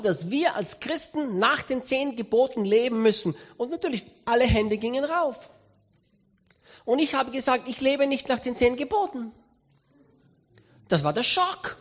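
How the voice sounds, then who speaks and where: A man with an Austrian accent preaching a sermon, telling a story with humor and lively emphasis. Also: very high voice. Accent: Austrian German. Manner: animated, humorous anecdotal delivery.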